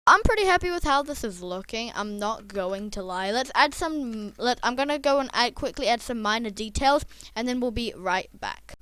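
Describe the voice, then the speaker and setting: A young YouTuber with a croaky voice, rambling casually and chatting through a building video. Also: medium pitch, expressive, normal speed, a bit bright, no room sound. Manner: rambling, chatty, self-deprecating. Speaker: Minecraft YouTuber. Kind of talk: casual gameplay commentary.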